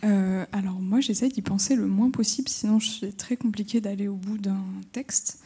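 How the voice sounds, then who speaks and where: A woman's voice: mid-range voice, fairly even intonation, normal speed, warm tone, husky. A woman poet at a round-table discussion, thoughtful and correcting herself as she goes.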